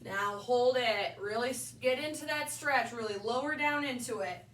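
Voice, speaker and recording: A woman's voice: on the high side, a bit bright. A young woman with a slightly nasal voice from allergies, leading a balance exercise class and calling out moves while she demonstrates. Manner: upbeat instructing cues, brief pauses between moves. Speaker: fitness instructor. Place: large indoor wellness room with wood floor. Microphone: far camera on a tripod. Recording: roomy echo, voice from far off.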